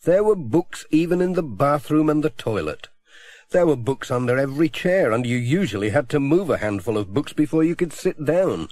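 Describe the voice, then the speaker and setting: A man's voice: a little high, lively intonation, normal speed, a little mellow, dry recording. A middle-aged man reading a children's storybook aloud as narrator. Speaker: audiobook narrator. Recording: dry close audiobook recording.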